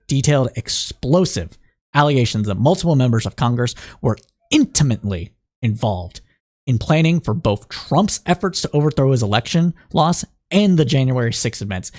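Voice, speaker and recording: A man with a slightly raspy voice hosting a call-in political news podcast, explaining the week's news and reading reports aloud, with frequent fillers and restarts. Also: mid-range voice, a little mellow. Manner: thinking aloud, many fillers and restarts, reads news excerpts aloud. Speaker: podcast host.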